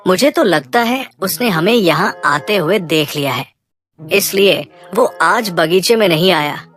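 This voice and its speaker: A woman's voice: on the low side, expressive, unhurried, a bit bright, faint music behind. A woman voicing a respectful, obliging male boar who serves as minister to a jungle king in a cartoon. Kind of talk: Hindi cartoon moral story acting.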